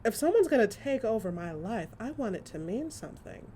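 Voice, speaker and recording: Chatty, excitable female gossip played by an actress for comic audio drama: highish voice, lively intonation, emphatic storytelling. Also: a little fast, a little hiss or hum. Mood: weary, opinionated. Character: chatty, excitable woman gossiping to a dear friend, supernatural comedy.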